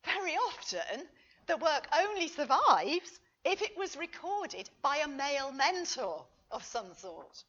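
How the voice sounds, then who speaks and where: A woman lecturer reads a prepared paper in a slightly dull, lo-fi recording. Her delivery is unhurried and deliberate. She speaks expressively, with lively rises and falls across each sentence. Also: sits high. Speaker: lecturer reading a conference paper. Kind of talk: scripted academic lecture read aloud. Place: conference talk.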